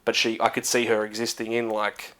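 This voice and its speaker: A man's voice: on the low side, completely flat delivery, a little fast, a bit bright. A man with an Australian accent, co-hosting a casual movie chat, rambling with many fillers and restarts. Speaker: co-host.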